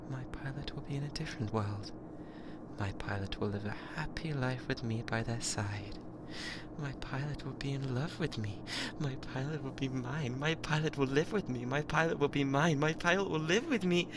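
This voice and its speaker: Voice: medium pitch, heavy background noise, lightly acted. A performer with a British accent voicing a possessive, lovesick sentient AI in a binaural ASMR roleplay, with stilted formal phrasing that often slips into whispers. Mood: obsessive, resolute. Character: possessive lovesick yandere spaceship AI, newly sentient. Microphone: close binaural microphone.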